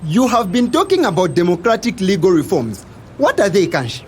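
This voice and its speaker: An actor playing a hot-headed young government loyalist with a Zambian accent, combative and blustering. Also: male voice, sits high, big pitch swings, moderate pace, a little hiss or hum. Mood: challenging. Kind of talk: audio drama acting.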